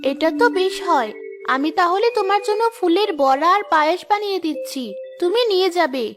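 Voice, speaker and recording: A high-pitched caring cartoon village mother. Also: a little fast, over music. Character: gentle, caring young village mother and wife. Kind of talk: Bengali cartoon story voice acting.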